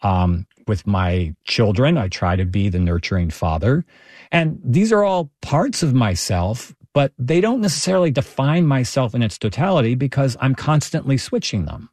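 A middle-aged man from Texas, a professor, talking thoughtfully as an interview guest, with measured phrasing and small restarts. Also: medium pitch, expressive, a little mellow, dry recording, clean studio sound. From Texas, Houston. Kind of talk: interview guest, reflective storytelling.

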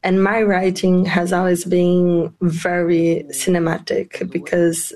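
A woman interpreting a guest's answers into English in a plain, steady way. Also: fairly low pitch, slow.

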